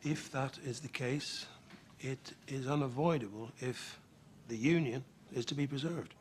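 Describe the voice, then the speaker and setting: Period film casting: a man to play a confident, driven treasury statesman, mid-range voice, crisp formal argument, light background noise. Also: medium pitch, measured pace, lightly acted. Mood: cool resolve. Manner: formal, assertive, precise. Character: assured, ambitious Treasury statesman of revolutionary-era America. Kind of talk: period film drama dialogue.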